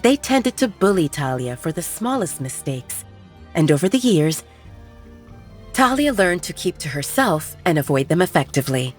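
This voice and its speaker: Female narrator, lower voice with a lively melodic range, steady storybook read over faint music. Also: moderate pace. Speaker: audiobook narrator.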